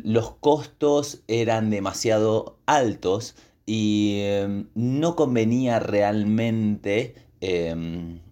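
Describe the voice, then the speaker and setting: A man who hosts a language podcast, talking as a teacher to learners in a deliberate, explanatory way and pausing to explain words. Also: lowish voice, a little mellow. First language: Spanish. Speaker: podcast host and Spanish teacher.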